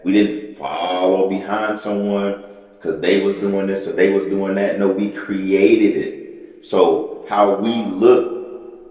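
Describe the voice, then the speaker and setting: A man's voice: low voice, steady tone, slow, dark tone, muffled recording. A man who works as a personal trainer, co-hosting a casual podcast and talking in a rambling, repetitive, motivational way. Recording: very echoey room with long reverb.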